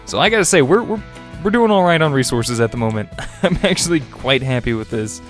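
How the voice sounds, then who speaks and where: A man's voice: mid-range voice, lively intonation, fairly quick. A young man with a stuffy, congested voice, chatting casually as he narrates his own gameplay video. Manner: chatty, upbeat, with little laughs and fillers. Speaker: gaming video host. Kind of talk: casual let's-play commentary. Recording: faint music bed behind voice.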